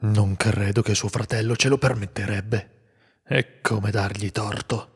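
A man's voice: on the low side, steady tone, measured pace, vocal fry, breathy edge, full character voice. A man playing a commanding warrior leader in a radio drama. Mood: grave. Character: male warrior leader, stern and decisive. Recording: long hall reverb.

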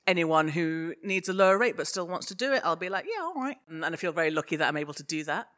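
A woman's voice: on the low side, expressive, fast, a bit bright. A British woman chatting casually as a guest in a live interview, informal and self-deprecating, given to giggling. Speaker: podcast guest, podcaster and sensitivity reader.

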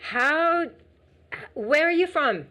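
A woman interviewing a friend in a casual chat, reacting with quick exclamations and laughter. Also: high voice, lively intonation, bright, forceful tone.

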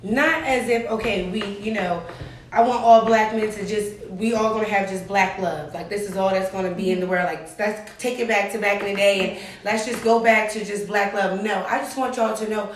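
A woman's voice: mid-range voice, steady tone, moderate pace, reverberant, a little hiss or hum. A woman speaking bluntly and emphatically, with swearing, in a casual panel discussion.

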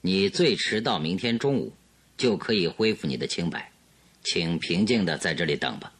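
An actor playing a shrewd, composed detective who questions with measured authority in a radio drama. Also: male voice, sits low, animated, a bit bright, husky, full character voice. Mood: calm assurance.

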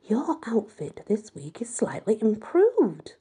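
A woman voicing a spiteful, condescending female teacher in an audiobook, breathy, with drawn-out emphasis. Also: a little high, huge pitch swings, warm tone, pitched above the performer's own voice, full character voice.

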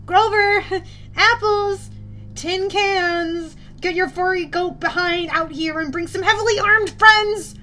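Woman as an anxious, wry young boy hero, high-pitched. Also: slow, pushed and bright, heavily acted. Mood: desperate pleading. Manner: urgent, wry.

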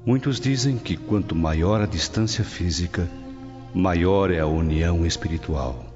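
An earnest, homesick Roman husband with a Brazilian accent in a radio drama. His deep voice is tender and wistful, wrapped in long reverb over music. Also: a little slow. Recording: dull audio, long reverb, music behind.

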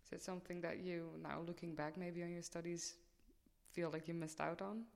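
A woman's voice: lowish voice, steady tone, brisk, creaky, darkish tone. A woman hosting a conversational podcast interview as a student life coach. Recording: reverberant hall-like room sound.

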